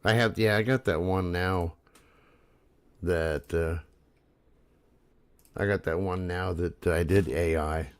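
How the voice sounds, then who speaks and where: Deep-voiced easygoing man, casual host. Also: fairly even intonation, slow, long pauses. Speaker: co-host and singer. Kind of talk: casual podcast chat.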